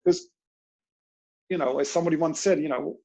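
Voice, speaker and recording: Husky Dutch-accented man coaching. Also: a little high, a little fast. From Netherlands. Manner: animated, storytelling with quoted inner voices. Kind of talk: online interview. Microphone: video call.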